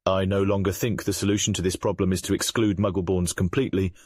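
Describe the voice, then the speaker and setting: Man acting as grave noble wizard father, deep voice, formal, measured, remorseful, dry close recording. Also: little pitch movement, darkish tone, husky, dry recording. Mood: solemn, remorseful. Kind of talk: comic dub voice acting.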